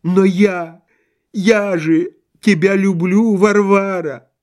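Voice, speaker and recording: A man voicing a self-pitying, theatrically tragic abandoned husband in an audiobook, with nasal, drawn-out, declamatory wailing. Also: high-pitched, pitched above the performer's own voice. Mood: anguished protest.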